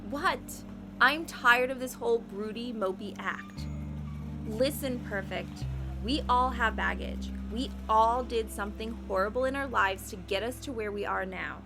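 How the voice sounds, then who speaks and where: A woman's voice: a little high, a little slow. A woman playing a blunt, sardonic and combative female reaper who regrets nothing, in an audio drama. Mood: irritated, defiant. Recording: music behind the voice.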